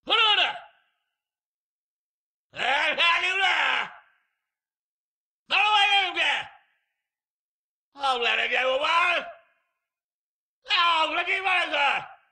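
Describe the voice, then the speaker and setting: A masked tactical grunt from a video game, a combative man with a very sharp, tense voice. He barks short exclamations with slow, spaced-out delivery, pitched very high for a man. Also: expressive, full character voice. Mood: alarmed, shouting. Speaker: game enemy NPC.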